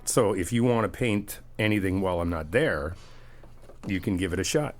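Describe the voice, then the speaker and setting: A man's voice: sits low, normal speed. A man doing a friendly ASMR roleplay, chatty and teasing, reassuring the listener as he helps out. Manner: reassuring, helpful.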